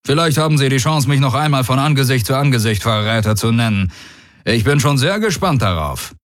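A man's voice: medium pitch, animated, fairly quick, no echo, studio quality, voice pushed up, lightly acted. A man voicing a proud, bold alien warlord in an audiobook, commanding and coolly mocking. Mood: smugly polite. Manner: commanding, smugly self-assured. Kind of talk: audiobook character dialogue.

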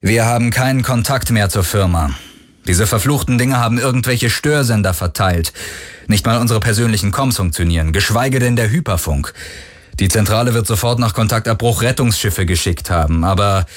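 A man's voice: lowish voice, a little fast, close to an everyday voice. A man voicing a rugged, cunning boss in an audiobook, firm and commanding in manner. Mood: desperate, urgent. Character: rugged, cunning male boss, combative and urgent.

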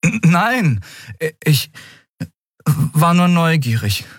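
A dreamy, downcast boy from a fantasy audiobook, acted out by a man. The voice sits raised and a little husky. He speaks hesitantly, with a resigned air. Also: medium pitch, unhurried, dry recording, clean studio sound, faint music behind, voice pushed up. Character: dreamy, downcast boy who longs to be a hero. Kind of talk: audiobook character voice.

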